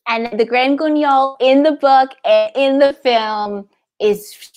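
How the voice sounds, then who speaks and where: A woman's voice: sits high, fairly even intonation, slow, a little mellow. A woman in her thirties hosting an online event in an upbeat style, speaking on a video call. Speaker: host of a library event. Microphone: wired earbuds on a video call.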